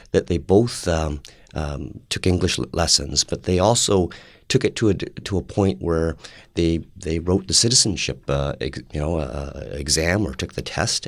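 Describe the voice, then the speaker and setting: A man in his fifties who grew up speaking Cantonese talks as an interview guest, pausing and restarting as he reflects. His voice sits extremely low. The sound is dry and close, with no room echo. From Canada. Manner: thoughtful, halting, with frequent fillers and restarts. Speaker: interview guest, public servant. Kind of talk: casual interview chat.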